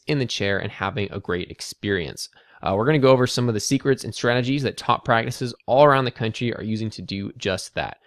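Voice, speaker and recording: A man's voice: on the low side, no room sound, clean studio sound. An American man presenting an instructional marketing video, explaining things conversationally and addressing the listener directly. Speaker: presenter, dental marketing consultant.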